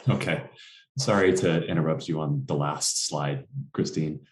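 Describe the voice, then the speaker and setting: Very deep-voiced male panel moderator. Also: unhurried. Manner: casual, joking.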